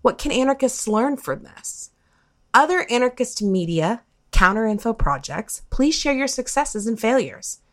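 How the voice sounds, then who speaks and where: Female announcer, slightly high-pitched, reading prepared questions to open a podcast segment. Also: big pitch swings, measured pace, fairly bright tone. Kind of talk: scripted read narration of questions.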